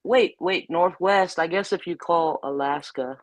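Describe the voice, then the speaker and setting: A woman chatting as a guest, playful and quick to laugh, telling stories with animation. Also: lowish voice, dry recording. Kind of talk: casual chat.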